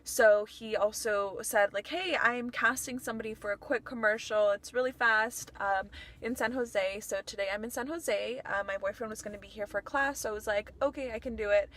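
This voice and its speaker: A woman's voice: a little high, normal speed, few pauses, fairly bright tone. A young woman whose first language is Spanish, talking casually and upbeat as she vlogs about her day. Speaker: vlogger and voice actor. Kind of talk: casual vlog chat.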